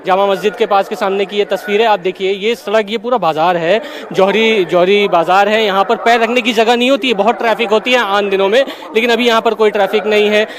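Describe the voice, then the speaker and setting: A man's voice: high voice, steady tone, a little fast, hardly any pauses. A man giving a live television field report as a news reporter on a street. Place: outdoors on a crowded city street. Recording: loud crowd noise around voice. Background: crowd.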